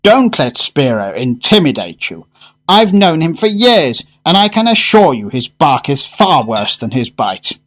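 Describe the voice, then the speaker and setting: Man playing an animated, genial regular diner. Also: fairly high pitch, measured pace, heavily acted. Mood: genial, teasing. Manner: chummy, urbane, teasing. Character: Harry, genial, teasing male regular diner. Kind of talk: radio drama acting.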